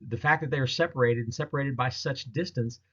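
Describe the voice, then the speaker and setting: A man hosting his own video, talking casually and storytelling as he explains pictures to viewers, in a rambling, halting way with repeated words. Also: medium pitch, a bit bright, breathy edge, dull audio. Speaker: host of Bigfoot research channel.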